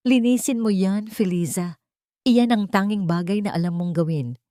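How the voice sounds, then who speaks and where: A woman's voice: mid-range voice, moderate pace, mellow, no room sound, studio quality, natural voice. A woman voicing a cruel, taunting rich villainess who threatens a housemaid in an audiobook drama. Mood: cold gloating menace. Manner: taunting, menacing.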